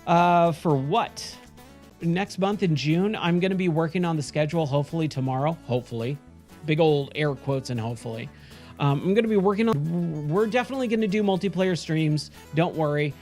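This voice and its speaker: A man streaming late at night, chatting casually with viewers in a hoarse voice that is going. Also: a little high, fairly quick, a little mellow, soft background music. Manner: chatty, rambling, frequent self-corrections. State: hoarse, losing his voice.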